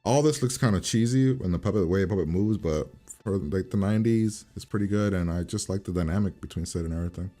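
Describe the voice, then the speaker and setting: A man's voice: deep voice, fast talker, warm tone. A man hosting a reaction video, giving a casual, informal review and rambling as he repeats and revises his points.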